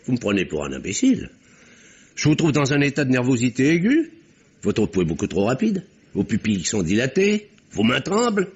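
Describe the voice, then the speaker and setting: An actor playing a shrewd, firm country doctor in a vintage radio drama, questioning insistently. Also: male voice, mid-range voice, huge pitch swings, a little fast, husky. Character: shrewd country doctor, middle-aged man, probing and firm. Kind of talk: 1950s French radio drama acting. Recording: dull old radio recording.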